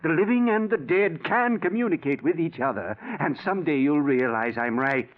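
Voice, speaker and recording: An actor playing an earnest, insistent widower obsessed with spiritualism, in courteous old radio drama style. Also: male voice, a little high, animated, normal speed, natural voice. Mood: fervent conviction. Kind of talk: 1940s radio acting. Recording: tinny vintage radio recording.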